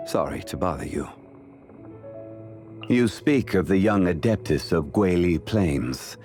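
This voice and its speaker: A man playing a calm, dignified, ancient gentleman in a video game, speaking in a formal, measured, deliberate manner. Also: sits low, soft background music. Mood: solemn, measured. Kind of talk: scripted video game character voice lines.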